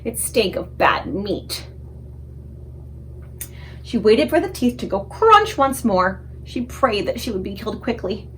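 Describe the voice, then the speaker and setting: A woman narrating a children's storybook aloud in an expressive, scripted read-aloud style. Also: medium pitch, unhurried, long pauses, slight background noise, rough recording.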